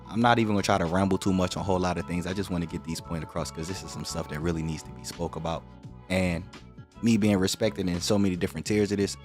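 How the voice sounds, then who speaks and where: Deep-voiced male stream host, casual and direct, slangy explainer, faint music behind. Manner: relaxed, direct, slangy, explaining points at length. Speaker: livestream host. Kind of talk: casual livestream talk to viewers.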